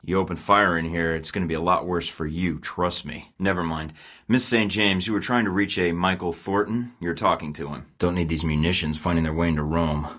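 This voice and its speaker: A deep-voiced, wisecracking secret agent. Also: male voice, a bit bright. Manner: dry, sarcastic quips. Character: wisecracking male secret agent, cool under pressure. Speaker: protagonist of a spy game. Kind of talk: video game voice acting.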